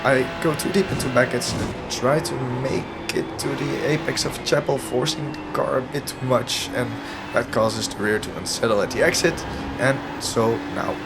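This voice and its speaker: A hoarse man who is losing his voice narrates his own sim racing footage in an analytic, self-deprecating way. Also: mid-range voice, normal speed. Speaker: sim racing video host. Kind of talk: commentary over gameplay footage. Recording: voice-over with music underneath. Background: background music.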